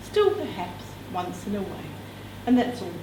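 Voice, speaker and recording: A woman's voice: medium pitch, very animated, a little slow. A woman reading a novel extract aloud to others with expression, adding casual asides. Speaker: reader at a literary event. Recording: echoey room, distant mic, background noise.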